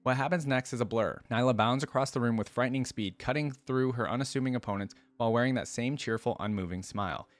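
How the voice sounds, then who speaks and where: A man's voice: medium pitch, quick pace, few pauses. A man hosting a reaction video, reading a story aloud and adding casual commentary.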